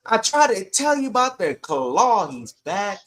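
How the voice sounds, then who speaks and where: A man's voice: sits high, sing-song, unhurried, fairly bright tone. A man co-hosting a sports podcast, chatting casually in slangy, emphatic bursts.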